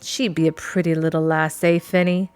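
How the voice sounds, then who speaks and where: A woman voicing a gruff, gloating one-legged male pirate in a mock pirate dialect. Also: low voice, little pitch movement, normal speed, a little mellow, dry recording, soft background music, lightly acted. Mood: taunting.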